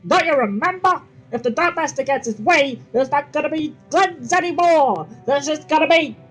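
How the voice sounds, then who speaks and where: A brash young male dragonfly sidekick yells and teases in a high, cheeky voice, voiced by a woman in a fan reading. He is loud and irreverent, never holding back. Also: animated, moderate pace, soft background music, poor audio.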